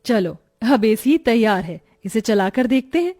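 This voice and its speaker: A woman's voice: a little high, sing-song, measured pace, a little mellow, soft background music, voice pushed down. A woman playing a practical, earnest poor village mother in a cartoon story. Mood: hopeful. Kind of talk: Hindi cartoon story acting.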